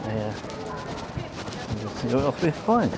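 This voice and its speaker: A man's voice: mid-range voice, sing-song, takes it slowly. London man vlogging over street noise. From London, England. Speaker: amateur photographer, vlogger. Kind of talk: casual walking commentary. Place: outdoors on a riverside walkway. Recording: outdoor city noise behind voice.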